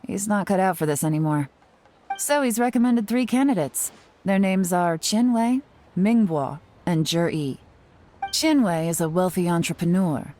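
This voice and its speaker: A woman voicing a confident female covert agent who talks in a cool, brisk and wry way. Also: on the low side, expressive, mellow, lightly acted.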